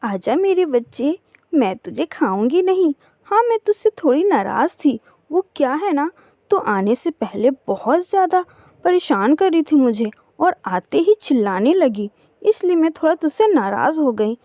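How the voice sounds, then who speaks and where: Woman as a high-pitched bossy, motherly kite bird. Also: very animated, a little slow, dark tone, no echo, full character voice. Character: big female kite, scolding predator with motherly heart.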